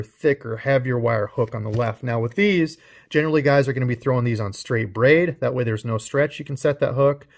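A man with a middle-range voice talks straight to the viewer while his hands show off new fishing tackle. He is chatty and relaxed and explains the gear as he goes, recorded on a close mic with the speaker off camera. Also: medium pitch. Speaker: fishing channel host. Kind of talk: casual unboxing show-and-tell.

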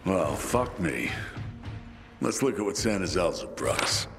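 Gruff military officer, game drama: sarcastic, commanding, highly animated delivery, music underneath. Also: male voice, measured pace, big-room sound, heavily acted. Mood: angry, sarcastic. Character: gruff bitter male military lieutenant, battle-worn, sarcastic. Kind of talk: video game dramatic acting.

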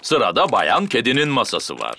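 A man's voice: medium pitch, wildly swooping pitch, moderate pace, gravelly, background noise. A man voicing a cheerful, self-important father pig in a children's cartoon, with a jovial, bouncy manner. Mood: jolly. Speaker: cartoon voice actor. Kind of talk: children's cartoon dialogue in Turkish dub.

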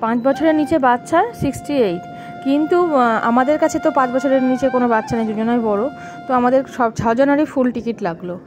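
A woman's voice: sits high, fast. A woman in her thirties vlogging a family trip, talking casually to her phone camera held at arm's length. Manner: chatty, tired and complaining in the morning. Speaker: travel vlogger. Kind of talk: casual travel vlog narration. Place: hotel room, then outdoors on a trip. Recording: background music under voice. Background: music.

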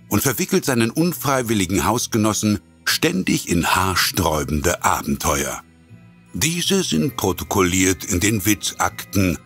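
A man narrating the intro of an audio drama in a theatrical, deliberate way. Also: low voice, fairly bright tone.

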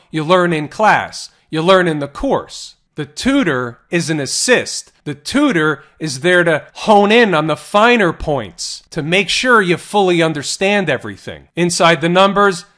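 A man giving an energetic voice-over walkthrough of stock charts, asking rhetorical questions as he teaches. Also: sits high. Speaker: trading educator. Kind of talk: stock market chart analysis. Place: voice-over on screen recording.

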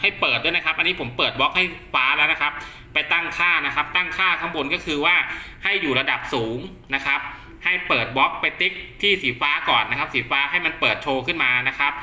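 A man's voice: on the high side, fairly quick, few pauses, bright, forceful tone. A man talking casually through a hands-on product test, explaining step by step in a reassuring, instructional way. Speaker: host of a radio gear channel. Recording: roomy echo.

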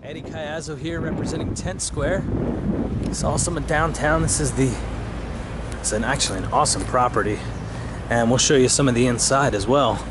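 A man's voice: mid-range voice, normal speed, very noisy. A man vlogging casually outdoors with an easygoing manner, on camera audio. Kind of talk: casual vlog. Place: outdoors, downtown street.

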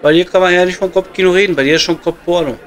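Man playing a high-pitched blunt Ruhr coal miner. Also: steady tone, very fast, fairly bright tone, some chatter behind. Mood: teasing. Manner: blunt, colloquial, teasing. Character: gruff, bantering working-class male coal miner in a pub. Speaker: comedy performer. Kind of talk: improvised audio comedy, casual pub banter. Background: music.

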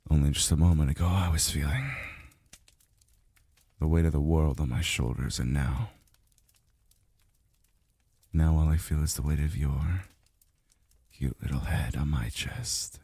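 A man playing a calm, devoted male lover in an intimate ASMR roleplay, murmuring softly and slowly, close to the mic. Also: dry recording, full character voice. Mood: loving, grateful. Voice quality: very deep, breathy, gravelly. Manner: slow, soft murmuring with sighs. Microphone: close mic, dry sound.

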